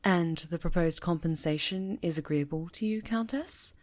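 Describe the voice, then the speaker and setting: A woman voicing a dignified, guarded leader of a witches' coven in an ASMR fantasy roleplay, formal, measured and earnest. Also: lowish voice, darkish tone, dry recording, natural voice. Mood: guarded, businesslike.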